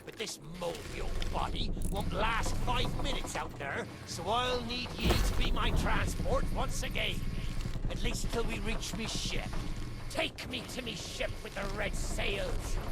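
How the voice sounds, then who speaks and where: High-pitched, theatrical skull. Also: male voice, animated, measured pace, vocal fry, very noisy, exaggerated voice. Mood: eager, scheming. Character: crafty old talking pirate skull, salty and boastful. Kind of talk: video game character acting. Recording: loud game music behind.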